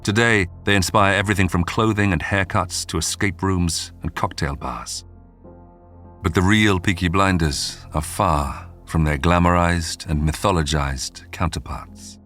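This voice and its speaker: A man hosting a history programme, reading scripted documentary narration in a measured, polished storytelling way. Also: sits low, a bit bright, soft background music. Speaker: podcast narrator and host.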